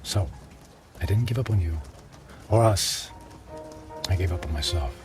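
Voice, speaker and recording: An actor playing a remorseful middle-aged businessman in a radio drama, pleading with his ex-wife in a halting, stammering, earnest way. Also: low-pitched. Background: dramatic background music.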